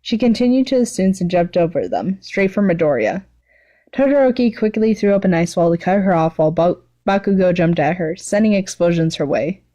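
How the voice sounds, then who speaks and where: A woman's voice: lowish voice, lively intonation, fairly quick, warm tone. A woman giving an amateur, self-deprecating story reading with casual chat, stumbling over words now and then. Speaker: fan fiction writer and reader, channel host.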